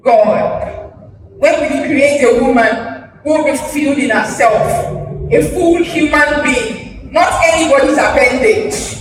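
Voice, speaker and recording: A woman giving a theatrical spoken-word reading, emphatic and declamatory, with dramatic pauses. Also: high voice, background noise. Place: live stage at an awards event. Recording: echoey hall, distant mic, music behind. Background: music.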